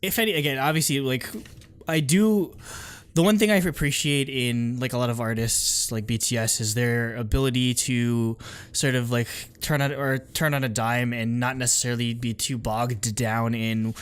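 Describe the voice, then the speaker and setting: Give me a man co-hosting a music podcast in relaxed chat. He speaks at a middle pitch, emphatic and animated, and sometimes talks over the others. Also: moderate pace, smooth. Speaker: podcast co-host. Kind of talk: casual music review chat.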